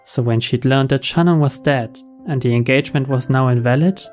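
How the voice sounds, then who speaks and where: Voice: mid-range voice, fairly quick, a little mellow. A narrator reading scripted visual novel story text. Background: background music.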